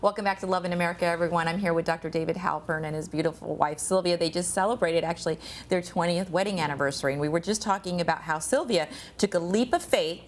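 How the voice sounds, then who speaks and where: A woman hosting a TV interview show, reacting with lively exclamations. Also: fairly low pitch, fast talker, few pauses, a little hiss or hum. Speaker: talk show host.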